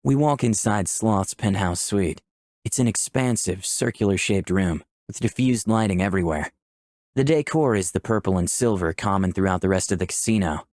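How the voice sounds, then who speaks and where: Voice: sits low, moderate pace, a little mellow, dry recording, studio quality. A person playing through a visual novel, reading the protagonist's lines and narration aloud with a playful, flirtatious manner. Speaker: player voicing the protagonist and narration.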